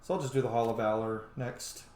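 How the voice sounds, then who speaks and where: A man's voice: mid-range voice, fairly even intonation, brisk, darkish tone. A man hosting a video game playthrough, commenting informally, swearing and thinking aloud. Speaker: gaming video host. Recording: roomy echo, game music behind.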